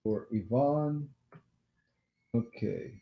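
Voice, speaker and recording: A man hosting a casual live-stream quiz game who has a loud voice, repeats each question and apologizes during asides. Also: medium pitch, extremely slow, vocal fry. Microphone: laptop mic. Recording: echoey room, dull lo-fi laptop audio.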